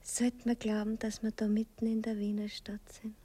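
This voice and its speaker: An actress playing a brash, coaxing streetwalker in a radio play, with slangy, often hushed Viennese speech. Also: female voice, on the high side, monotone, normal speed, breathy edge. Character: brash, coaxing female streetwalker, earthy and teasing. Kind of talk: 1960s German radio play acting. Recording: noisy 1960s radio drama recording.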